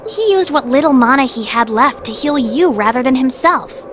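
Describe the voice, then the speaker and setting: A woman voicing a sassy female cat familiar in a video game, glib, expressive and quick to take offense. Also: high-pitched, background noise. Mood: exasperated.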